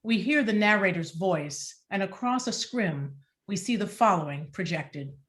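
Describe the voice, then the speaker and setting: A woman giving a deliberate, expressive scripted narration as she reads out stage directions for a play. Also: mid-range voice. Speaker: playwright reading stage directions.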